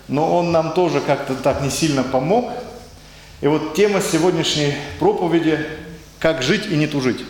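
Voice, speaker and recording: A man preaching a conversational sermon in speech, hesitant and searching for words, and putting questions to his congregation. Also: fairly high pitch. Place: church hall. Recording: echoey hall with faint ambience.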